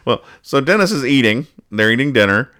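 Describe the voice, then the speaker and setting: A man hosting a casual podcast, talking through comic strips in a halting, mock-analytical way and reading out the dialogue. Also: mid-range voice, sing-song, pushed and bright, clear, resonant tone. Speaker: podcast host. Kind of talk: casual chat, describing comic strips and explaining the jokes.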